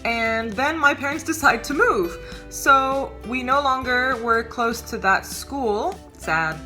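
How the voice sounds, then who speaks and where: Bright-voiced chatty woman in her twenties. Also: high voice, animated, takes it slowly, faint music behind. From United States. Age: 20s. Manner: chatty, upbeat, lots of fillers and asides. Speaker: vlogger. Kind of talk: casual talking-to-camera vlog.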